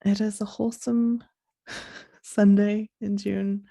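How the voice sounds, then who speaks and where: A woman hosting a podcast in a soft, sleepy voice, talking in a slow, halting, reflective way. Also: mid-range voice, flat delivery, creaky. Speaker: podcast host.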